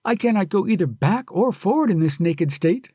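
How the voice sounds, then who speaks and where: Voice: medium pitch, expressive, moderate pace, dark tone, slightly rough, dry recording, clean studio sound, pitched above the performer's own voice. A reader doing a pleading young traveler. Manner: courteous, pleading. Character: polite, earnest young male traveler.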